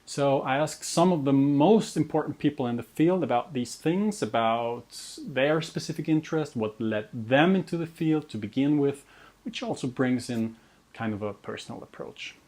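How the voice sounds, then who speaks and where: A man's voice: medium pitch, lively intonation, moderate pace, a little mellow. Austrian man introducing his video series. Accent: Austrian German. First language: German. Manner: earnest, self-deprecating, with frequent restarts. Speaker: host and researcher. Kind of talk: scripted personal introduction to camera.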